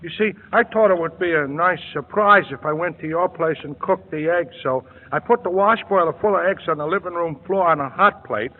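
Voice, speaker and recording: A man's voice: on the high side, brisk, few pauses, narrow, tinny audio, close to an everyday voice. Male actor as a folksy bumbler, old radio drama, echoing in a long hall. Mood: sheepish. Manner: rambling, folksy, ungrammatical. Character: bumbling comic male sidekick, rustic.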